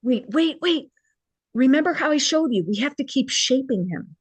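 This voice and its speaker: A woman's voice: sits high, expressive, moderate pace, slightly rough, no room sound. A woman playing a kind, encouraging young woman in a drama table read. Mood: playful, encouraging.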